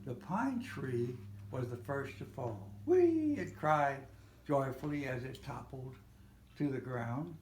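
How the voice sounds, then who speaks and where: An older man reading a children's storybook aloud, a little haltingly, with small slips and self-corrections. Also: mid-range voice. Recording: roomy, echoey home recording with faint noise.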